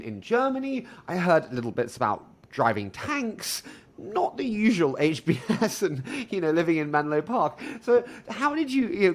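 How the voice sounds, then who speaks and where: A young man in his twenties hosting a podcast interview, asking questions enthusiastically and quickly, with restarts. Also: fairly high pitch. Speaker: podcast host.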